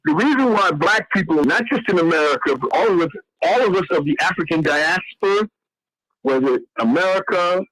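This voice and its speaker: A man's voice: high-pitched, lively intonation, fairly quick, a bit bright, poor audio. A man in his sixties in a casual talk show chat, rambling, cursing freely and stumbling over names as he tells stories. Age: 60s.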